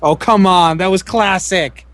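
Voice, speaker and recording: A man's voice: high voice, unhurried, fairly bright tone, dry recording. A man co-hosting a gaming podcast, sarcastic and fond of crude jokes. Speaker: podcast co-host. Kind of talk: casual podcast banter.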